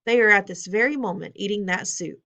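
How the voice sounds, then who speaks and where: A woman's voice: mid-range voice, a little fast, creaky, bright, forceful tone. A woman reading aloud and voicing an elderly grandmother who whispers tenderly. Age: older. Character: loving elderly grandmother, hushed.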